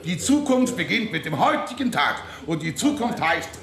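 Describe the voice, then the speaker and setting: A man's voice: high voice, big pitch swings, normal speed, creaky, echoey room, a crowd in the background, full character voice. An actor playing a self-important shoe manufacturer in a radio drama, pompous and emphatic in his delivery. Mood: proud.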